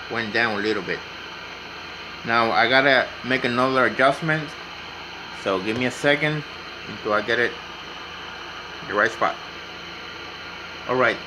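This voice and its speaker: A man's voice: mid-range voice, noisy background. A man giving a casual, unscripted hands-on tutorial, explaining step by step and repeating himself as he goes. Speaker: hobbyist demonstrating CB radio repair.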